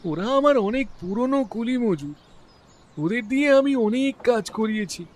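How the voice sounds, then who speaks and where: A man's voice: very high-pitched, expressive, unhurried. A man playing an earnest, defensive labour contractor explaining himself in a dramatized audio detective story.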